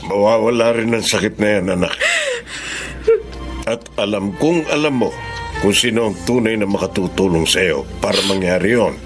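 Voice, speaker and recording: An actor with a Filipino accent playing a gentle, caring older father in a radio drama. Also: on the low side, moderate pace, faint music behind, close to an everyday voice. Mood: concerned, consoling. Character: caring, regretful older father consoling his grown daughter. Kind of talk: Tagalog radio drama acting.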